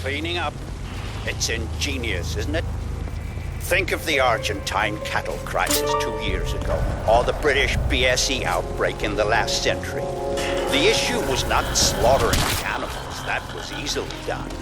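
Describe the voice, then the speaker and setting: An actor playing an urbane older mastermind in a video game, measured, erudite and sardonic as he guides the player. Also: mid-range voice, animated, loud music behind the voice, lightly acted. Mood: detached fascination. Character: urbane older male mastermind, wry and condescending. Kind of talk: guiding monologue in a video game.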